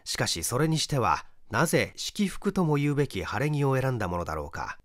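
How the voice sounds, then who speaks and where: A man reading a literary mystery as dramatised narration, in a formal, measured storytelling manner. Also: medium pitch, big pitch swings, fairly bright tone, no room sound, studio quality. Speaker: audiobook reader, stage actor.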